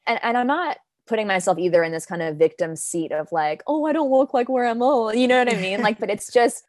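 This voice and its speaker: A woman's voice: a little high, brisk, no room sound, studio quality. A young woman poet from Denmark chatting in an interview, with lots of fillers and frequent laughs. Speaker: guest poet. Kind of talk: casual interview chat. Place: New York.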